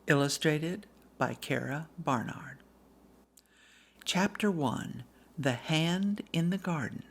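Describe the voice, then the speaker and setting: A woman's voice: low-pitched, expressive, takes it slowly, vocal fry, husky. Woman narrating a children's storybook.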